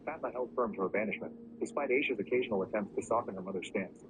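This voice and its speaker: A man narrating a first-person story in a steady, even storytelling delivery. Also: lowish voice, quick pace. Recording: muffled lo-fi recording with clear background noise.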